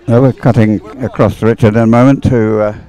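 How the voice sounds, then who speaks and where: A man's voice: lowish voice, fairly even intonation, brisk, dark tone, soft background music. A British man commentating live on a race in a conversational, somewhat hesitant way, thinking aloud.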